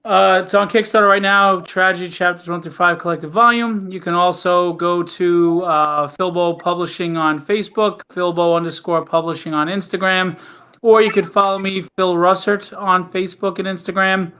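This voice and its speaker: A man's voice: steady tone, moderate pace, pushed and bright, clean tone. A man giving an earnest, candid, casual account of his project as an interview guest. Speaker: guest, comic book writer and publisher. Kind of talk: casual interview talk, plugging and explaining a project. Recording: dull, low-fidelity call audio.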